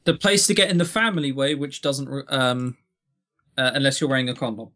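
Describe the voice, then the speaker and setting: A man's voice: medium pitch, fairly even intonation, fairly quick, bright, forceful tone. A man co-hosting a podcast, giving his opinions in a blunt, sardonic, casual way. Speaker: podcast co-host.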